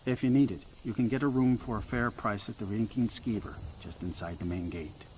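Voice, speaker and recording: A man's voice: on the low side, steady tone, a little fast, darkish tone, a little hiss or hum, lightly acted. Fantasy game carter, male actor: plain-spoken local, matter-of-fact, heard from slightly far off. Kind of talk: video game character dialogue.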